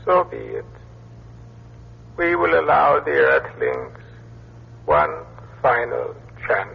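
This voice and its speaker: An actor playing a solemn ancient alien who judges humankind in a radio science fiction drama, with a creaky, husky voice. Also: male voice, mid-range voice, wildly swooping pitch, slow, heavily acted. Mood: solemn, judging. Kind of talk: old-time radio science fiction acting. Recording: muffled old radio drama recording.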